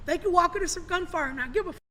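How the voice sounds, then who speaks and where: High-voiced man rambling emphatically. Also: rapid-fire, pushed and bright, a little hiss or hum. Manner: rambling, emphatic, swears freely. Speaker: podcast guest. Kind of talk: casual podcast talk.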